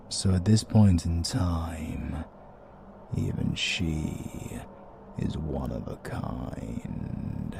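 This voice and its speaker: A British man playing an ominous, courteous interdimensional being in an audio roleplay, speaking in a formal, measured, detached way. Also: deep voice, vocal fry, noisy background, heavily acted. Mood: solemn, mysterious.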